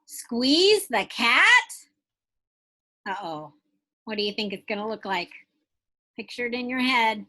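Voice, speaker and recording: A woman's voice: fairly high pitch, animated, a little slow, long pauses, bright, forceful tone. A woman teacher reading a picture book aloud to young children, stretching out phrases expressively and putting questions straight to her listeners.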